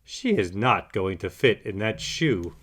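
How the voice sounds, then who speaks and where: A man's voice: lowish voice, big pitch swings, unhurried, fairly bright tone, slightly rough, exaggerated voice. A man playing a playful, goofy young male puppy in a storybook, with an enthusiastic manner. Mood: keen, reassuring.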